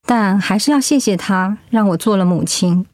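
A woman acting a hurt but resolute mother in a radio drama, speaking gently, reflectively and with feeling. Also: medium pitch, moderate pace, dry recording, close to an everyday voice. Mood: resigned, reflective. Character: hurt, resolute mother leaving her marriage. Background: background music.

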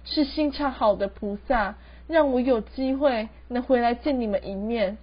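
A woman's voice: on the high side, moderate pace, slightly rough. A teenage girl with a Taiwanese Mandarin accent playing a wronged, emotional young ghost daughter in an amateur radio drama. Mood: sorrowful. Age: teens. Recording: dull lo-fi student recording.

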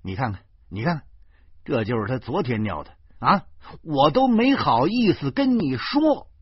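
A furious, self-righteous man acted by a male storyteller scolds like a bully in an audio story. He stammers and shouts, his husky voice leaping high. The recording is dry and slightly dull. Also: sing-song, a little slow, no echo. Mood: exasperated, furious.

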